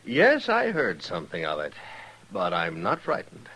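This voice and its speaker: An actor playing a smug, callous traveling photographer, glib and mannered, in a 1950s radio western. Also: male voice, low-pitched, huge pitch swings, moderate pace, bright, forceful tone, exaggerated voice, low-quality recording. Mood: evasive, unruffled. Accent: American. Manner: glib, self-satisfied, mannered.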